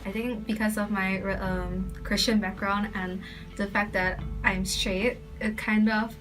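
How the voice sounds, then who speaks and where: A young woman interviewed for a student documentary, answering in a halting, hesitant way. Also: medium pitch, level tone, far from the mic. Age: young adult. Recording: off-mic with music bed.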